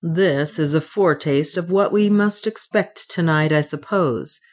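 A woman's voice: low-pitched, a little slow, a little mellow. A woman reading an audiobook, voicing a determined Englishman who talks earnestly and resolutely. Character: adult Englishman Leonard, steady and determined.

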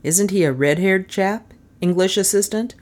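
A woman's voice: medium pitch, normal speed, darkish tone, voice pushed up, lightly acted. A woman voicing a terse, tense, scholarly married man in a mystery story, with clipped delivery. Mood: thoughtful. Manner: tight, clipped.

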